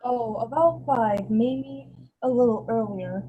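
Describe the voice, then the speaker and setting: A woman playing an easygoing suburban husband and father in a radio play, with a husky voice. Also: a little high, takes it slowly, highs cut off, a little hiss or hum. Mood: concerned, affectionate.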